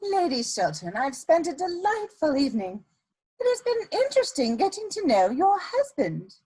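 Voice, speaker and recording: A woman playing an elegant, ruthless blackmailer in a dramatic reading of a period play, speaking in a deliberate, imperious way. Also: extremely high pitch, wildly swooping pitch, dark tone, exaggerated voice. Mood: smug, gracious. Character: elegant scheming female blackmailer, coolly ruthless society lady.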